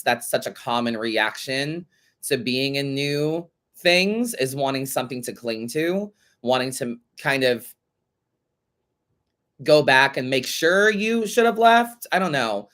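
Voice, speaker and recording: A man's voice: mid-range voice, a bit bright. Stuffy-nosed man giving casual tarot readings. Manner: chatty, calls listeners babe and honey, casual swearing. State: stuffy, congested nose. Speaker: tarot reader and show host. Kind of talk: casual advice reading of listener questions.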